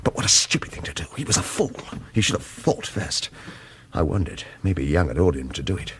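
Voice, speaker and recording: A man's voice: low voice, big pitch swings, fairly quick, slight background noise. A man playing a hard-boiled, commanding gunman hero in a radio thriller, with clipped orders and wry narration. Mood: brooding narration. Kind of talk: radio thriller acting with first-person narration.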